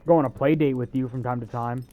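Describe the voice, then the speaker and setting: A man's voice: mid-range voice, steady tone, fast, phone-quality sound, slight background noise. A young man giving casual, joking game commentary in a rambling, sarcastic way. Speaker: gamer.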